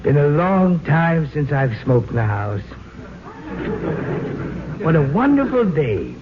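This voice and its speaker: A man's voice: on the high side, big pitch swings, takes it slowly. An actor playing a polite, wistful middle-aged married man in an old radio sitcom, speaking in an earnest, theatrical way. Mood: delighted. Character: henpecked married visitor, wistful, polite, delighted by mess. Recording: dull, noisy old radio recording.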